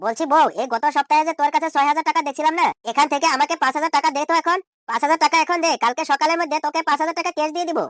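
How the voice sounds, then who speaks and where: A man voicing an exasperated, scolding ordinary village man in an amateur comedy cartoon, repeating questions indignantly through a pitch-shift effect. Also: steady tone, very fast, hardly any pauses, fairly bright tone, no room sound, studio quality. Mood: worried.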